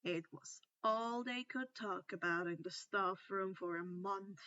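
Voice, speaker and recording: Slow, bright female story narrator. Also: mid-range voice, dry recording, highs cut off. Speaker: narrator of fan fiction.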